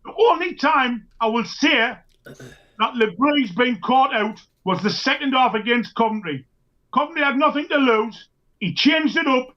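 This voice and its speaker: Man, very high voice, bright, emphatic, dull lo-fi sound. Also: lively intonation, measured pace. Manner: firm and emphatic. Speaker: co-host. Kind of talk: casual fan chat. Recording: dull lo-fi line.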